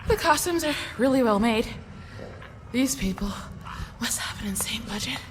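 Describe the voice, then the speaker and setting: A woman's voice: on the high side, lively intonation, normal speed, close to an everyday voice. An actress playing a skeptical young woman who speaks in a dismissive, matter-of-fact way. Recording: reverb with background noise.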